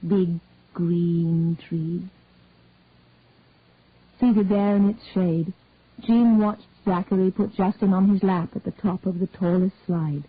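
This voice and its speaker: A woman reading a detective story aloud in a measured, even way. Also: medium pitch, breathy edge, no echo. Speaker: story reader. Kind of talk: scripted narration of a detective story. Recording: dry, tinny phone-like audio.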